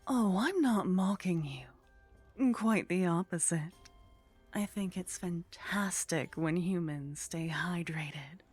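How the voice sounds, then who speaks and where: Woman voicing an ancient vampire seductress, breathy and husky, lowish pitch, teasing, haughty, ASMR roleplay, faint music behind. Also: animated, darkish tone, exaggerated voice. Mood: teasing, seductive. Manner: slow, teasing, breathy murmur slipping into whispers. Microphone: close microphone.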